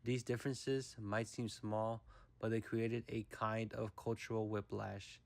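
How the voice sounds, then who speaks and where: A young man from the United States narrates a personal documentary, his voice sitting a little low. He reads steadily and thoughtfully, in a measured, reflective manner. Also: flat delivery, creaky, dry recording.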